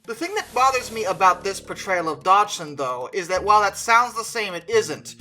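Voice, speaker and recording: A video essayist with a bright, forward voice in a middle register, talking conversationally through an opinionated film review. The delivery is emphatic and informal, with faint music underneath. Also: mid-range voice, big pitch swings, normal speed. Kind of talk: opinionated movie review commentary.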